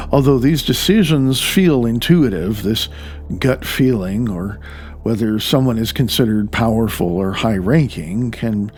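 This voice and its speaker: A man reading his own nonfiction audiobook as its author, with deliberate phrasing and dramatic pauses. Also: medium pitch, darkish tone. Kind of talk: audiobook narration.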